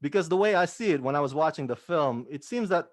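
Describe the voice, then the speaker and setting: A man interviewing an actress, asking relaxed, friendly questions. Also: on the high side, quick pace, no echo, clean studio sound.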